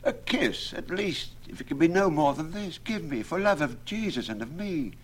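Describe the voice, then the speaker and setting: A man doing a swooning, high-voiced lovesick clerk. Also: animated, moderate pace, slight background noise, poor audio. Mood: pleading. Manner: swooning, wheedling. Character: lovesick young male parish clerk, foppish and pleading.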